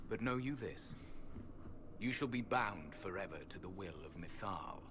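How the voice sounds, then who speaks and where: A man playing an ancient, weary elven temple guardian in a fantasy video game, speaking formally and gravely. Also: lowish voice, expressive, normal speed, fairly bright tone, a little hiss or hum. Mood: solemn, stern. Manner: formal archaic phrasing, grave.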